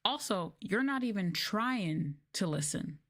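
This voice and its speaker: A woman's voice: medium pitch, expressive, unhurried, fairly bright tone. A woman chatting casually on a livestream, telling stories in informal, slangy language with an exasperated manner. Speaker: livestreamer and former English teacher.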